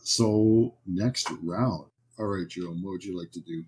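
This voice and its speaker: A man running a horror tabletop game, describing scenes informally and haltingly as game master. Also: low voice, a little mellow, slight background noise.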